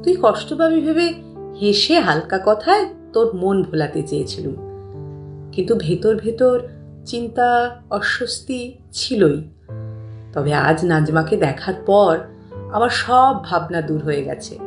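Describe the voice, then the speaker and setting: A woman voicing an affectionate, frank elderly grandmother in a story, tender and coaxing toward her grandson. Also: mid-range voice. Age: older. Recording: roomy, distant mic, music bed.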